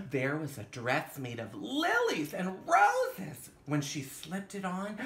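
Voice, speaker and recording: A man hosting storytime in drag, reading a picture book aloud theatrically with dramatic gasps, drawn-out words and playful asides. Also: on the high side, fairly bright tone. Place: indoor room with fabric backdrop. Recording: roomy echo, some background noise.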